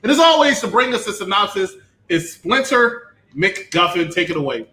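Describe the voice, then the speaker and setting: A man with a high, bright voice hosting a casual review show. He presents like a teasing announcer. The recording is roomy and echoey. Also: sing-song, moderate pace. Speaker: podcast host. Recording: roomy echo with faint noise.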